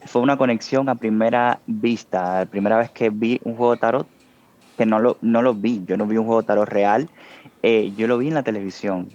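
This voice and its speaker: A man's voice: mid-range voice, a little mellow, highs cut off. A man from Cuba with a Cuban Spanish accent, a guest talking casually in an interview and hesitating with many fillers. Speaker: tarot artist guest.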